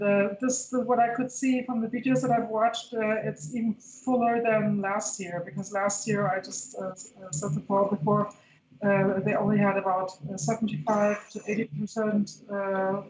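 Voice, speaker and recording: A woman's voice: medium pitch, flat delivery, normal speed, darkish tone. A woman in her fifties chatting casually, often repeating words as she searches for the next one. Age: 50s. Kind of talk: casual chat. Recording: echoey room with light background noise.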